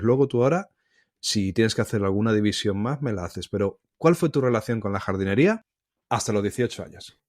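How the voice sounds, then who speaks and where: A man acting as interviewer, steering a relaxed conversation with friendly, clear questions. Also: mid-range voice, dry recording, clean studio sound. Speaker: podcast co-host asking the questions.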